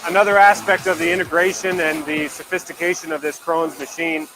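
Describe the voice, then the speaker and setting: A man's voice: on the high side, steady tone, brisk, over music, rough recording. A man explaining production equipment in a promotional video, in plain practical language. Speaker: brewery production worker overseeing brewing and packaging.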